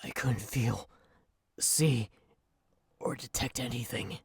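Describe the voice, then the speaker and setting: A man playing a drained, haunted victim of an enchantment in an audio drama, halting and subdued. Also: mid-range voice, gravelly, husky, no room sound, full character voice.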